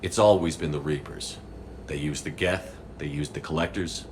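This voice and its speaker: A man's voice: very deep voice, fairly even intonation, moderate pace, a little hiss or hum. A man acting a steadfast military commander in a video game, firm and restrained. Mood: resolute.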